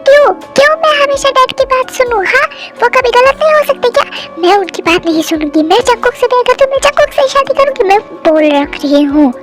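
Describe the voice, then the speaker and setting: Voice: high voice, steady tone, moderate pace, few pauses, over loud music, lightly acted. A young reader voicing a headstrong college-age daughter, arguing in a defiant, pleading way.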